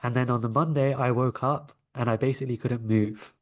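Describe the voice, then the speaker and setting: A man with a London accent speaks at an ordinary middle pitch. He talks conversationally, as a guest sharing personal stories in an interview. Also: fairly even intonation, fairly quick. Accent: London English. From London, England. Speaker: interview guest, coach and energy healer.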